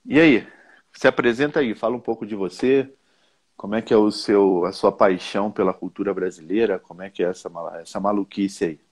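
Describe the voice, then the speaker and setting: A man's voice: on the low side, a little fast, darkish tone, slightly rough. A man hosting a casual, informal interview chat, encouraging his guest as he talks. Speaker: host and language teacher.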